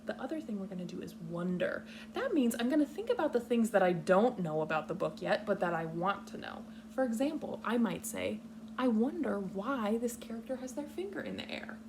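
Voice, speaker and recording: Creaky-voiced woman teaching young children. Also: mid-range voice, sing-song, noisy background. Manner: patient, instructional, simple deliberate phrasing. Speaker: kindergarten teacher reading a picture book aloud. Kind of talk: read-aloud lesson for young children.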